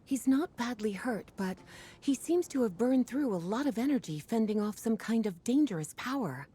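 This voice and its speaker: A woman's voice: on the high side, lively intonation, normal speed, dark tone, breathy edge. A woman playing a composed, responsible figure of authority in video game voice acting. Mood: concerned.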